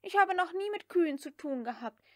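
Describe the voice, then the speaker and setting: Woman voicing a frightened, high-pitched young maid. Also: expressive, brisk, darkish tone, no room sound, studio quality. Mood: anxious protest. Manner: broken grammar, pleading protests. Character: frightened, whining, stubborn young maid girl. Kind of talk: audiobook character acting.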